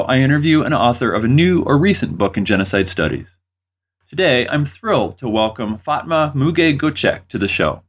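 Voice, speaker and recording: A man's voice: mid-range voice, husky, dull audio. A man hosting an interview podcast, introducing and questioning a guest.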